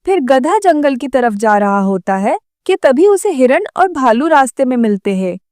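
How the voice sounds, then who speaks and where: High-pitched animated woman storyteller. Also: normal speed, no echo, clean studio sound. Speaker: narrator. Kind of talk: scripted children's story narration.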